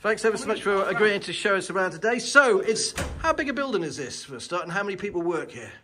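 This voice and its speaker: Fast-talking, high-pitched male reporter. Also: lively intonation, pushed and bright, a little hiss or hum. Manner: chatty, informal, eager. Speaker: video reporter. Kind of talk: casual walk-round interview. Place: small office building corridors and rooms. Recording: indoor chatter and bustle behind.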